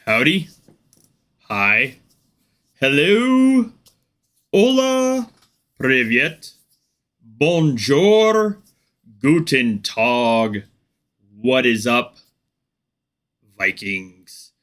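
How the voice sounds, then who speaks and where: A man hosting a casual live gaming stream, still a little congested from the flu. He talks slowly and loosely, leaning on ums and likes. His voice sits at a middle pitch with a bright edge. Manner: relaxed, chatty, many fillers.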